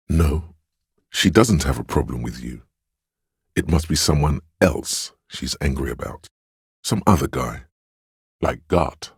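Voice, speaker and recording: A man voicing a tender, perceptive inner voice of empathy in a video game, speaking in a measured, intimate, dramatic way with a husky, gravelly tone. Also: very deep voice, darkish tone, dry recording, studio quality, full character voice. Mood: observant, gentle. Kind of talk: scripted game narration, second-person commentary.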